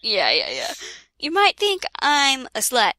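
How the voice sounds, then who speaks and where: High, bright-voiced woman reading aloud, giggly. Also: huge pitch swings, unhurried, dry recording. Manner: giggly, often breaking off from the reading to comment. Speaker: reader hosting a comedic fan fiction reading. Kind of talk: casual, jokey read-aloud with ad-libbed asides.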